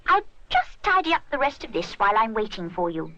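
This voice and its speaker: A woman's voice: fairly high pitch, very animated, a little fast, highs cut off, background noise. A woman voicing a greedy, amiable young boy pig in a children's story, childlike and a little plaintive. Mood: keen.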